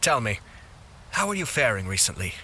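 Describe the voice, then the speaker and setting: A man's voice: medium pitch, very animated, fairly quick, heavy background noise, close to an everyday voice. A man playing a courteous, dutiful young noble official in a video game, speaking formally and with composure.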